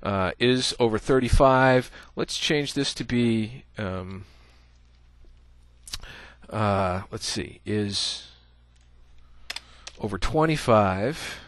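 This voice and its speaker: A man's voice: lowish voice, extremely slow, long pauses, fairly bright tone, no echo. A man giving a casual screencast coding tutorial, thinking aloud as he types, with many "uh" fillers. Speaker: programming instructor.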